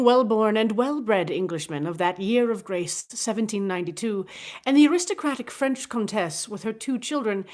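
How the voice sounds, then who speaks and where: A woman reading a classic novel aloud in an expressive storybook narration style. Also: medium pitch. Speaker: audiobook reader.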